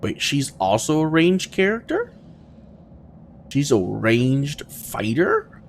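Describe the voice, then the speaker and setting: A man with a slow, unhurried delivery and gravelly fry in his voice talks through a video game. He thinks aloud casually and hesitantly, his pitch moving around freely as he muses. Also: wildly swooping pitch, slight background noise. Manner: hesitant, musing, trailing off between thoughts.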